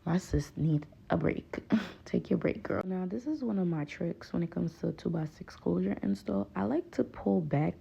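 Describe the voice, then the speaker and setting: A woman's voice: on the low side, normal speed, creaky, warm tone, slightly rough, muffled recording. A young adult woman with a strong Haitian accent, patiently talking viewers through a hairstyling tutorial in a voice-over. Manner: patient, pleading, repeats "please, guys".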